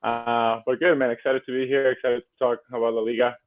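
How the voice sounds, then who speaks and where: A man from Venezuela co-hosting a sports podcast, friendly and relaxed in his easygoing fan talk. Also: medium pitch, a little fast, phone-quality sound. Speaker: co-host. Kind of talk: casual sports podcast chat.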